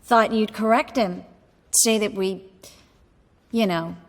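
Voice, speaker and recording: Wry, composed game sorceress. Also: female voice, mid-range voice, steady tone, big-room sound. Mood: wistful. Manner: dry, measured, articulate. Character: composed, world-weary, wry young female sorceress. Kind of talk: video game voice acting.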